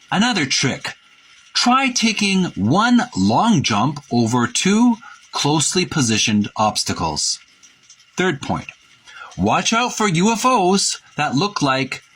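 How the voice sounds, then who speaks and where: A man presenting a book by reading its printed text aloud with commentary, in a halting, deliberate, itemizing way with pauses. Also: medium pitch, fairly bright tone, husky. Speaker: presenter of a retro video game book.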